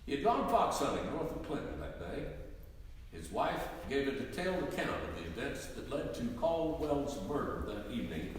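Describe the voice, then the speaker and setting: A man's voice: medium pitch, very animated, moderate pace. A man narrating history from a script in a formal storytelling manner. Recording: very echoey hall, distant, background noise.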